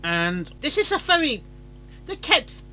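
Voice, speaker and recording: A man giving casual, rambling commentary, haltingly repeating and restarting his phrases. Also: very high-pitched, very animated, bright, forceful tone, faint music behind. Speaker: reaction video host reviewing fan fiction.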